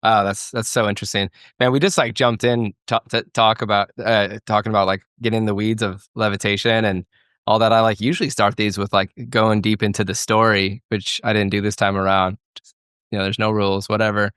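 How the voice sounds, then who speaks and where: A man hosting a podcast, asking questions in a relaxed, encouraging way. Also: on the low side, fairly quick, smooth, no echo, studio quality. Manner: relaxed, encouraging, laughs easily. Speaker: podcast host. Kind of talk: casual interview.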